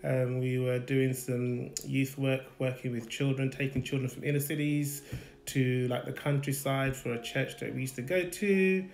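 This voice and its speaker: A man with a London accent, relaxed and plainspoken, telling his life story with light humour in a casual live chat at home. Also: mid-range voice, normal speed, vocal fry, roomy sound, a little hiss or hum. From Nigeria, raised in UK. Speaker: guest, husband.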